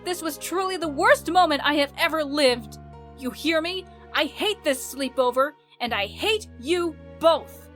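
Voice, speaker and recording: A woman voicing a haughty, indignant young space princess in an animated comic dub, articulate and scolding. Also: sits high, unhurried, faint music behind. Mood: scornful.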